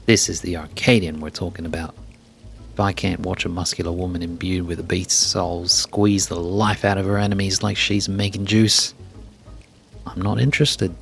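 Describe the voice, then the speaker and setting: A man's voice: low voice, flat delivery, moderate pace, vocal fry, a bit bright. Bloodthirsty arena fan for a game, read by a man: brash, disbelieving, faint music underneath. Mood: incredulous. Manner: brash, incredulous. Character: incredulous, bloodthirsty fan of brutal arena fights. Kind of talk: scripted game dialogue read aloud.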